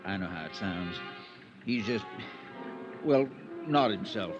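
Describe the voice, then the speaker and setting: An actor playing a deferential, nervous farmhand in an old radio drama, hesitant and servile. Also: male voice, on the low side, animated, slow, over music. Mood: apologetic, uneasy. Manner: hesitant, servile, always saying sir. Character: deferential, nervous farmhand loyal to his boss. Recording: muffled old radio recording.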